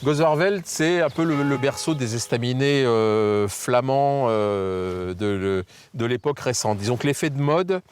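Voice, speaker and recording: A man's voice: mid-range voice, lively intonation, unhurried. A man who writes a guidebook, explaining the area with frequent hesitations. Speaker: guidebook author.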